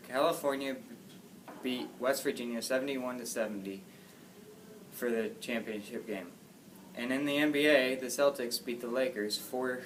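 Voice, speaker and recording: A man reads out sports news from a script in a matter-of-fact way. His voice is mid-pitched and sits back from the microphone, with slight noise in the recording. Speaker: sports reporter in an amateur newscast. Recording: distant mic, faint background noise.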